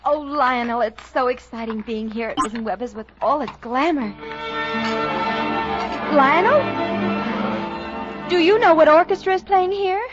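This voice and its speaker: Wide-eyed 1890s sweetheart, high voice, 1940s radio sketch delivery, dull vintage recording. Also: female voice, a little slow, pauses often. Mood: excited. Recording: dull old-time radio recording with music.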